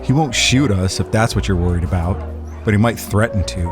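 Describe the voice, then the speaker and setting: An actor playing a cool, cocky, laconic hustler in a hardboiled period radio drama. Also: male voice, lowish voice, a little fast, music in the background, lightly acted. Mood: low-key, guarded. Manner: laconic, wisecracking. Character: wry, cool-headed Prohibition-era male hustler, cornered but cocky.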